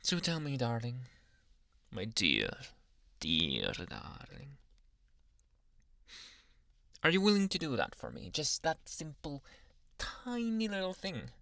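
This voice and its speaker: A man playing a jealous, dominant anime boyfriend in an ASMR roleplay, teasing and menacing, with a deliberate delivery that dips into whispers. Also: medium pitch, wildly swooping pitch, gravelly, bright, forceful tone, no room sound, clean studio sound, exaggerated voice. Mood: possessive, demanding.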